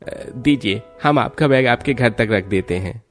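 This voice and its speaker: A man's voice: medium pitch, measured pace, soft background music, natural voice. A man voicing a courteous, helpful young neighbourhood man in a cartoon story. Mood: helpful, polite. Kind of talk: Hindi cartoon voice acting.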